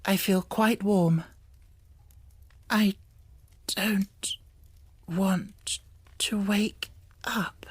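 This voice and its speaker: A woman's voice: medium pitch, steady tone, extremely slow, pauses often, breathy edge, no echo, pitched above the performer's own voice. A woman voicing a poor, dreamy young girl full of wonder, in rapt, fervent exclamations for a storybook. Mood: drowsy, mournful. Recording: music bed under the voice. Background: music.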